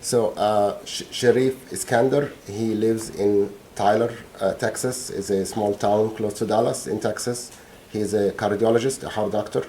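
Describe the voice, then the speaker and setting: A middle-aged man from Egypt, gives courtroom testimony. His voice sits on the lower side and has a mellow, unforced tone. He answers haltingly and pauses to find the right words. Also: steady tone, slight background noise. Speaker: witness.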